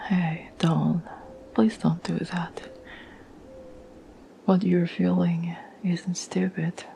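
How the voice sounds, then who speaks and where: A woman playing a caring, patient girlfriend in an ASMR roleplay, speaking in a soft, breathy whisper with a gentle, reassuring manner. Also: on the low side, a little hiss or hum, rough recording. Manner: gentle, slow, soothing, reassuring.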